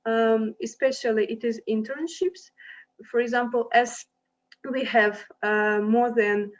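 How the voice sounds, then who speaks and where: A young woman from Ukraine gives an online slide presentation to prospective students, her fairly high voice stopping often for fillers and restarts. She sounds like she is speaking into a computer mic on a video call. The audio is dull and lo-fi. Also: steady tone, measured pace. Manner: hesitant, many fillers and restarts. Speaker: university representative presenting to applicants.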